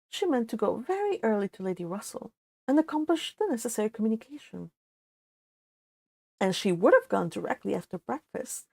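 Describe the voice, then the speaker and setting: A Californian woman hosting a read-along, reading a novel aloud and chatting about it in an informal, animated manner. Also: medium pitch, creaky, no room sound. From California, United States. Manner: animated, informal, exclaiming asides. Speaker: host of a live read-along.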